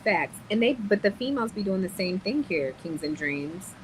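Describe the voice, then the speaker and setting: A woman's voice: medium pitch, expressive, normal speed, a little hiss or hum, poor audio. A woman hosting a livestream panel, chatting bluntly and casually with slangy speech. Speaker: livestream host.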